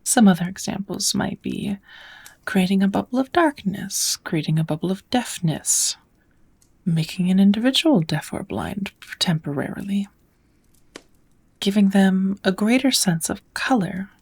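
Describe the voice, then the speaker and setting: A woman voicing a kind, patient witch in a soft, often whispered ASMR roleplay, teaching magic in a gentle, measured, slightly formal way. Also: mid-range voice, expressive, breathy edge. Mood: calm, patient.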